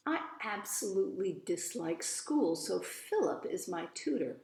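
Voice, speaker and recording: A woman's voice: mid-range voice, very animated, measured pace, a little mellow. A woman reading as a precocious, self-assured little rich girl in a storybook, matter-of-fact and haughty with dramatic flourishes. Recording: roomy, echoey voice recording.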